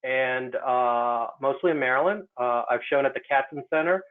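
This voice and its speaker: A man's voice: mid-range voice, normal speed. A man, an artist, speaking in a casual online talk, hesitant and full of fillers. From United States. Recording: tinny phone-like line.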